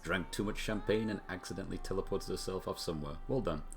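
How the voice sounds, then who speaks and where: A man's voice: deep voice, quick pace. A man streaming a game, reading story text aloud with brief casual remarks of his own. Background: music.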